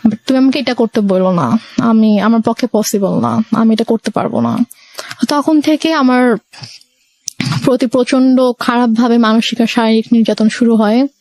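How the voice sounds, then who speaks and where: A woman's voice: on the high side, normal speed, darkish tone, no echo. A young Bangladeshi woman recounting her personal life story as an interview guest, mixing English words into her speech.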